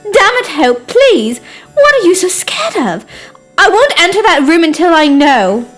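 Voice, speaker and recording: A woman's voice: extremely high pitch, sing-song, unhurried, a bit bright, soft background music, exaggerated voice. A woman voicing a naive, anxious young lady, polite but insistent, earnest and questioning in amateur period drama.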